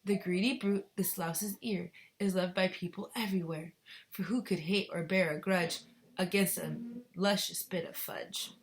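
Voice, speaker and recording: Tiny, gleefully moralizing factory workers acted out by a woman chant a storybook rhyme. The delivery is rhythmic and deliberate, half sung and drawn out. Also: fairly low pitch, fairly even intonation, natural voice. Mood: gleeful warning.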